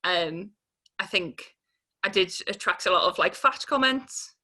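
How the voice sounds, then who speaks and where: A woman's voice: lowish voice, expressive, a little slow, sharp tone. A woman, giving a candid personal account in an informal, hesitant way as an interviewee. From Newcastle upon Tyne, England. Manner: informal, hesitant, peppered with 'like'.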